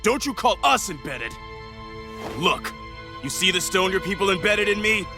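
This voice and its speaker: An actor playing a hot-headed, bitter rebel fighter in a game, sneering and confrontational. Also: male voice, sits high, very animated, normal speed. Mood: bitter anger. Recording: background music under voice.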